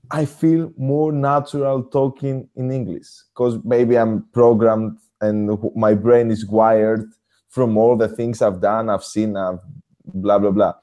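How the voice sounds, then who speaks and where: Give me a Greek-accented man hosting a relaxed talk, dark and mellow in tone. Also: on the low side, slow pace. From Greece. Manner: animated, swears casually, restarts sentences. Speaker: host, motivational speaker. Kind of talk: casual conversation.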